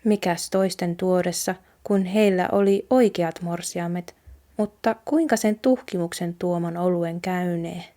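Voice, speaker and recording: A woman's voice: fairly low pitch, dark tone. A woman from Finland narrating an old folk tale aloud from a storybook. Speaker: Finnish teacher reading aloud.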